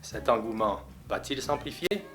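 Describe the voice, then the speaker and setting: A man narrating a local documentary and chatting with residents, measured when narrating and chatty when asking. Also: mid-range voice, animated, a bit bright, faint music behind. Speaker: narrator and interviewer. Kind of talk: documentary narration and friendly questioning.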